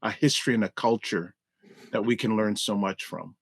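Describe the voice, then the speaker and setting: A man's voice: on the low side, normal speed, creaky, slightly rough, no room sound. Upbeat male co-host with dry sound. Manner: upbeat, repetitive emphasis, announcer-like reads. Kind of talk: casual podcast chat on a video call. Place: indoor room.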